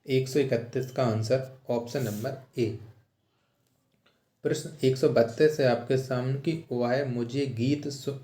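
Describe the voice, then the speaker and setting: A man's voice: mid-range voice, mellow. A man teaching an exam-prep lesson, posing questions and repeating each answer for emphasis. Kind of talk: instructional question-and-answer walkthrough. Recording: roomy, echoing sound.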